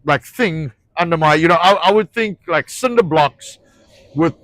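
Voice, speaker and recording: A man's voice: fairly high pitch, animated, normal speed, fairly bright tone, dry recording. A man with an Afrikaans accent, Afrikaans his first language, hosting a casual outdoor talk about a ranch project, often hunting for words with fillers and restarts, swearing now and then. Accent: South African. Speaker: ranch owner and show host.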